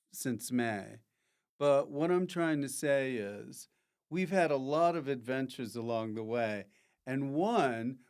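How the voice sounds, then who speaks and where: A man hosting a comic podcast in a rambling, forgetful, jokey way, often halting as he loses his thread. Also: medium pitch, clear, resonant tone. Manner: jokey, prone to loud mock announcements. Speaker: podcast host.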